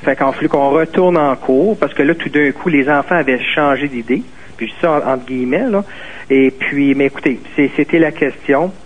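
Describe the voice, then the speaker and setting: A man's voice: medium pitch, normal speed. A man calling in to a radio talk show, hesitant, with many fillers and restarts. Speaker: caller. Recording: tinny phone line.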